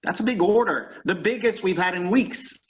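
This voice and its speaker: A man's voice: high-pitched, moderate pace, close to an everyday voice. An actor playing a fussy, short-tempered male store supervisor in an old-time radio comedy. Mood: fussy, businesslike.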